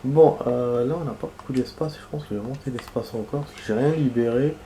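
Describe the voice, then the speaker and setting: A man's voice: medium pitch, fairly quick, warm tone, slight background noise. A man with a cold and a nasal voice, giving casual commentary while playing a video game, rambling with many fillers and restarts. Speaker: gaming video host.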